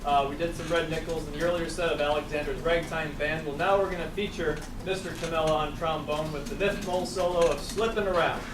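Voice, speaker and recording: Young male bandleader, fairly high, loud and chatty; roomy, noisy live recording. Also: steady tone, fairly quick, hardly any pauses. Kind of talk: live stage announcements. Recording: distant crowd-side amateur festival recording.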